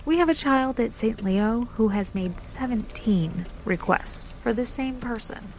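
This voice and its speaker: An actress playing an earnest, deferential staffer reporting to a boss in an audio drama. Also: female voice, a little high, measured pace, slight background noise. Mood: earnest, hesitant.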